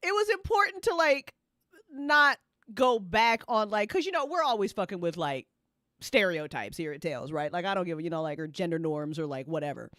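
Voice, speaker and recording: A woman hosting a casual fan chat about a story, talking in an animated, slangy, freely swearing way and often breaking into laughter. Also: on the low side, fairly quick, no echo, clean studio sound. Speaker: host of an audio fiction after-show.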